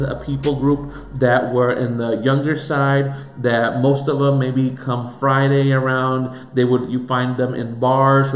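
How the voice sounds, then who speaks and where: A man with a Puerto Rican accent, a pastor giving an earnest teaching talk that runs through a series of questions. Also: medium pitch. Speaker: pastor and church planter. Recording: echoey room with faint music.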